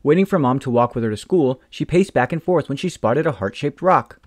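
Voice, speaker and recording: Expressive man reading a picture book. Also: mid-range voice, brisk, no echo, clean studio sound. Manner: gentle, expressive storytelling. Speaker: storytime reader. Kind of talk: children's book read-aloud.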